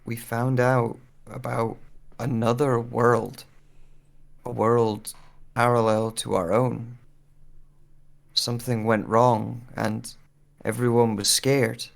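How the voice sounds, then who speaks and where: A grieving, haunted explorer acted by a man records a journal for an audio drama. The voice is gravelly and mid-pitched, with slow, measured, brooding delivery. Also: little pitch movement. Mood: sorrowful, searching. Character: grieving, haunted lone explorer of an empty parallel world.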